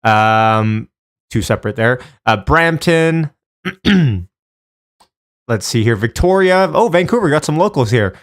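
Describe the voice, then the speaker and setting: A man's voice: mid-range voice, animated, takes it slowly, long pauses. A man hosting a live stream, chatting informally with viewers by name and giving casual commentary.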